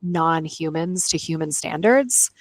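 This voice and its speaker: Low-voiced woman podcaster, close and dry. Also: lively intonation, slow pace, no echo. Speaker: book club podcast host. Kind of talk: casual chat with read-aloud chapter summaries.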